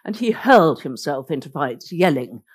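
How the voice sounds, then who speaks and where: A woman's voice: low voice, sing-song, moderate pace, slightly rough. A woman whose first language is Norwegian, giving an illustrated lecture as a biographer, telling the story vividly with wry asides.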